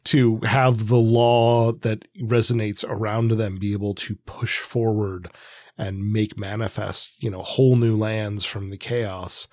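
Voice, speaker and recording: A man co-hosting a podcast, giving conversational analysis in a hesitant, measured way, thinking aloud as he goes. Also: on the low side, little pitch movement, a little mellow, no echo. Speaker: podcast co-host, comics expert.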